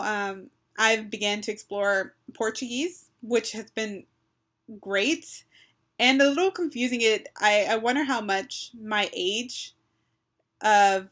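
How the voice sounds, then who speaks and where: A woman's voice: mid-range voice, a bit bright. A woman in her twenties from Texas, talking casually to her webcam with lots of "um" and "like" and a few Spanish phrases, recorded in a small bedroom on a built-in webcam mic. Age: 20s. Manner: chatty, frequent "um" and "like", mixes in Spanish phrases. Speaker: vlogger. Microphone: built-in webcam mic, close.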